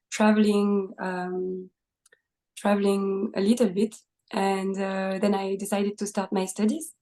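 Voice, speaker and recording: A young woman with a French accent talks in a mellow, dark-toned voice that stays on a flat, even pitch. She answers questions casually, pausing often on fillers and laughing lightly between thoughts. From France. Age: 20s. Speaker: podcast guest. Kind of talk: casual interview conversation.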